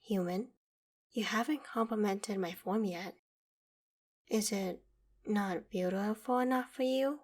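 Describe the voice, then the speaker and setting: A woman's voice: medium pitch, slow, long pauses, darkish tone. A woman voicing a proud, vain ancient dragon in human form for an ASMR roleplay drama, with formal, haughty, theatrical phrasing. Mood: vain, pleased.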